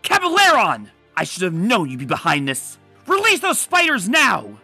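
A woman voicing a bold, confident female adventurer pony in a comic dub, talking briskly and commandingly. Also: mid-range voice, huge pitch swings, sharp tone, slightly rough, faint music behind, heavily acted. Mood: urgent.

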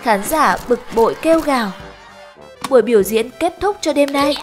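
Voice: high voice, lively intonation, normal speed. A narrator telling a children's cartoon story in scripted lines, with music behind. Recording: music under the voice.

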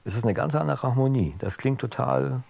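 A man's voice: mid-range voice, brisk, creaky, a crowd in the background. A man appearing as a guest on a studio talk show, chatting about building wind harps with enthusiasm. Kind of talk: casual studio chat.

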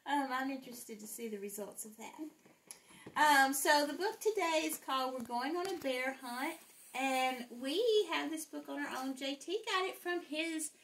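Mom with a high voice reading to kids, expressive, some noise on a home phone video. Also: female voice, a little slow. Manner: expressive, with whispered sound words. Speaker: mother reading aloud to her children. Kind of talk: casual chat and expressive picture-book read-aloud. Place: living room at home. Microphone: handheld phone.

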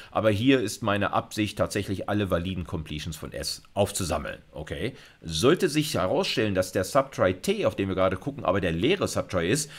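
A middle-aged man speaks in a fairly low voice. He lectures like a university teacher, taking things step by step. His explanation is informal, dotted with check-in words and English technical terms. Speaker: university computer science lecturer. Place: indoors, recorded video lecture.

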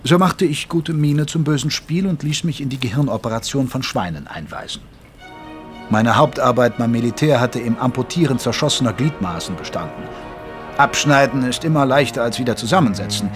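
A man's voice: mid-range voice, lively intonation, brisk, faint music behind, close to an everyday voice. Man playing sober, dryly wry doctor narrator. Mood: weary, wry. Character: sober, reluctant English army doctor narrating his captivity. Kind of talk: scripted first-person audio drama narration.

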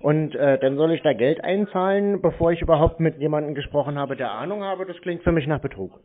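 A man's voice: fairly high pitch, brisk. Skeptical man on a tinny phone line. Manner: skeptical, blunt. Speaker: scam-baiting call recipient. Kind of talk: casual phone conversation. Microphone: phone call.

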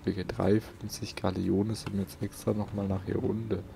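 A man comments casually on his game, muttering and grumbling to himself. His voice is low with a lot of fry, and game sound is clearly heard behind him. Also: level tone, normal speed, background noise. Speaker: let's player.

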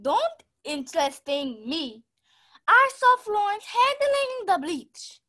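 Young actress as a very high-pitched haughty lady. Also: big pitch swings, takes it slowly, sharp tone, heavily acted. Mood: indignant. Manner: imperious, theatrical. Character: haughty, dramatic wealthy lady employer, indignant. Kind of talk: stage-style mystery radio play acting.

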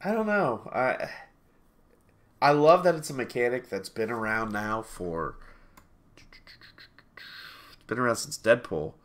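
A man's voice: mid-range voice, lively intonation, slow, pauses often, fairly bright tone. A sniffly man in his thirties talks over a video call through a headset from a small room at home. He rambles in a casual way, often doubling back and correcting himself, with easy laughs in between. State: sniffly from allergies. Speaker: game reviewer co-host.

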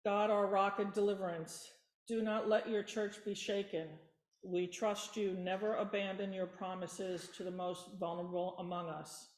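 A woman reading intercessory prayers at a church lectern, slow and even, with an occasional stumble. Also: medium pitch. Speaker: worship leader. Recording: echoey church room.

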